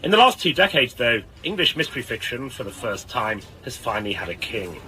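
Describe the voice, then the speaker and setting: Male radio culture host: erudite, rhetorical, animated, crisp forward tone. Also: mid-range voice, slight background noise, rough recording. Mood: presenting. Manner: articulate, rhetorical, deliberate. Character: erudite, measured male radio arts presenter. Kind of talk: scripted broadcast introduction and interview.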